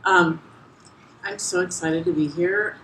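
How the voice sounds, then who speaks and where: A soft-spoken woman, an author about to read, talking hesitantly with restarts. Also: on the low side, steady tone, slow, slight background noise. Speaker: guest author. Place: bar with a live audience. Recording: distant, roomy bar sound.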